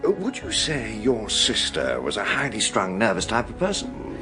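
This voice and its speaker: A courteous British doctor in a radio play, asking careful clinical questions. His gravelly voice rises and dips expressively, with music clearly audible behind it. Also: fairly low pitch, wildly swooping pitch. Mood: probing. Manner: polite, clinical, measured questioning. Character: courteous, matter-of-fact male family doctor, quietly puzzled. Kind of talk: radio drama acting.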